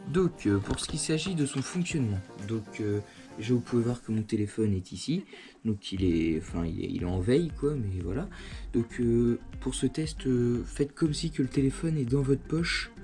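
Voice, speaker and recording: A man with a mid-pitched voice walks through a product in a casual, hands-on way. He sounds informal and conversational, explaining step by step as a video host would, recorded indoors with music in the background. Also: moderate pace, mellow. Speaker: host of a motorcycle gear review channel. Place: indoors at home.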